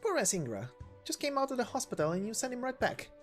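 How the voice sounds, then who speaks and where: A man voicing a lively, teasing young female knight in a game story reading, with a bouncy, playful, breezy manner. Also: very high-pitched, quick pace, soft background music, voice pushed up.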